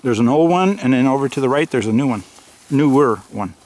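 A man's voice: medium pitch, animated, fairly quick, darkish tone. Guy narrating his hike on camera, chatty, out in a grassy field. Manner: chatty, running commentary. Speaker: hiker filming a Bigfoot search. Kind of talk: casual narration while filming. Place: open grassy field outdoors at dusk. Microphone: handheld camera mic.

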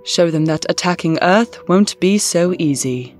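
A woman voicing a regal, scheming alien empress with a formal, measured manner, in an audio drama. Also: on the low side, animated, a little mellow, faint music behind.

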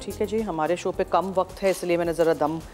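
A woman's voice: fairly low pitch, steady tone, fast, over music. A middle-aged woman with a Pakistani accent hosts a TV cooking show from a studio kitchen, mixing Urdu with English as she cooks. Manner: chatty, switches freely into English.

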